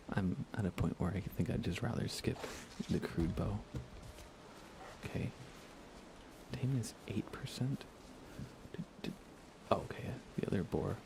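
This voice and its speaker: A low, gravelly man talks softly through a relaxed ASMR gaming stream. He often slips into a near whisper, keeping things casual and close to the microphone. Steady background noise and game ambience sit clearly behind him. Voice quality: creaky, low. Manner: soft-spoken, often dropping to a whisper. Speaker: livestreaming gamer.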